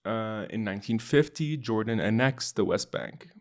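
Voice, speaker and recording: A man's voice: fairly low pitch, moderate pace. A young man explaining political history in a loose, unscripted way, leaning on fillers like "kinda" and "right". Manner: casual, uses filler words like kinda and right. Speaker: podcast host.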